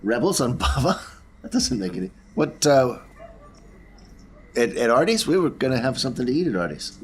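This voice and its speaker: A man's voice: mid-range voice, big pitch swings, normal speed, vocal fry, a little hiss or hum. A man playing a tabletop game, casually talking through and speaking his character's part. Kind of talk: casual in-game role-play chat.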